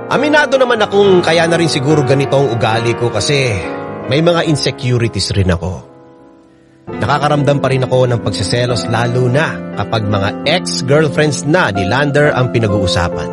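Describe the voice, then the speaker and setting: A Filipino man narrates a confessional story for radio drama. His mid-pitched voice rises and falls dramatically. Loud music runs underneath throughout. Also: animated, normal speed. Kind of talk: expressive first-person story narration.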